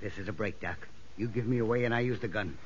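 A man's voice: fairly low pitch, fast, reverberant, natural voice. An actor playing a defiant, threatening convict gunman in a 1950s radio drama, with curt tough talk. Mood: cold menace. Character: menacing, defiant male convict with a gun. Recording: noisy, dull vintage radio recording.

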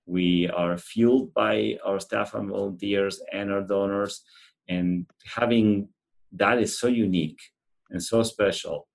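This is a man with an Argentine accent and a low-pitched voice. He speaks as an interview guest, earnestly and thoughtfully, often pausing to find his next words. He sounds middle-aged and conversational. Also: fairly even intonation, creaky. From central Argentina. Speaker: animal shelter representative being interviewed.

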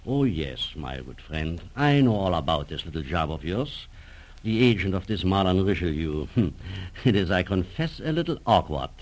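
An actor playing a suave, menacing German professor and spymaster in a radio drama, with formal, courteous and calculating speech. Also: male voice, low-pitched, big pitch swings, moderate pace, a little hiss or hum. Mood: suave, sly. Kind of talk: 1940s radio drama acting.

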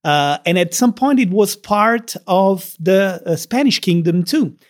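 A man from Naples with a Neapolitan Italian accent, hosting a relaxed interview in English and laughing easily. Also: high voice, a little slow. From Naples, Italy. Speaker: podcast host. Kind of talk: conversational interview.

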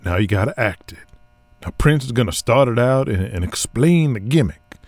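A man's voice: mid-range voice, animated, normal speed, darkish tone, dry recording. A man voicing a bossy male wrestling promoter in cowboy gear, coaching his wrestlers impatiently.

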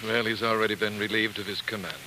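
A man acting a protective older senator, a stern father with measured, firm speech, in a 1940s radio drama. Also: fairly low pitch, level tone, lightly acted. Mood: grave. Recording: hissy, noisy 1940s radio recording.

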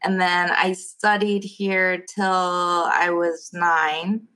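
A woman's voice: lowish voice, level tone, drawn-out words, bright, forceful tone. A woman from South Korea, a guest nurse giving casual interview answers.

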